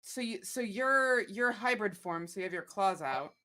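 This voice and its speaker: A woman's voice: medium pitch, lively intonation, normal speed, sharp tone. Blunt, inquisitive woman playing a tabletop game. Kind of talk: casual game chat.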